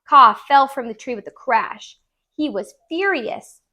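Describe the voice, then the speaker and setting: Higher-voiced woman reading a children's story. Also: expressive, measured pace, pushed and bright. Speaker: tutor reading aloud.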